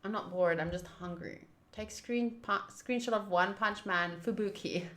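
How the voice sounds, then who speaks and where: A woman's voice: medium pitch, unhurried, fairly bright tone, roomy sound, slight background noise. A young woman hosting a game livestream from a room at home, chatting casually with her viewers. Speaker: streamer, host of a game playthrough. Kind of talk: casual livestream chat and commentary.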